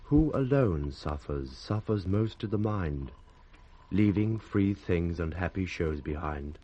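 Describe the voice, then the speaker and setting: A sorrowful young British nobleman in hiding. He speaks low and slowly, shaping each line of verse with measured reflection, as in a classical radio soliloquy. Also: lively intonation, dark tone, muffled recording, exaggerated voice. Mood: sorrowful, reflective. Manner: measured, rhyming verse delivery. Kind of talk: 1960s Shakespearean radio soliloquy.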